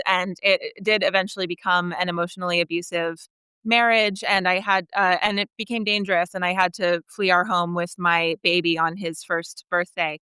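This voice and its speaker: A woman's voice: medium pitch, bright, forceful tone, smooth, dry recording. A woman in her thirties talking candidly about her own life as a writer being interviewed. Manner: candid, chuckles as she talks. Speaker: writer, podcast guest. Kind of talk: conversational interview, personal storytelling.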